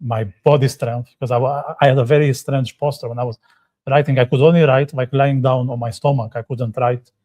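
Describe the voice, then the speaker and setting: A man's voice: mid-range voice, fairly even intonation, a little fast, dark tone. Chatty, joking man co-hosting a podcast. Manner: chatty, joking, asks the others questions. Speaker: podcast co-host. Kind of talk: casual panel chat. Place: hotel room, live session.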